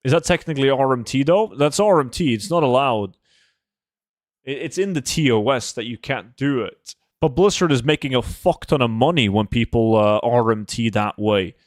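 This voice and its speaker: A man talks casually on a gaming livestream. He is blunt and swears offhandedly, in a mid-pitched voice. The sound is close and dry, with very little room in it. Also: moderate pace, dry recording. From Norway. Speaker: game livestreamer.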